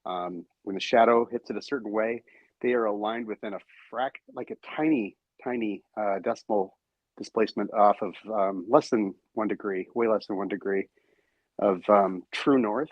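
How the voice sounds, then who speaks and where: A man in a casual podcast discussion, explaining thoughtfully with pauses. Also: lowish voice, no room sound, dull audio.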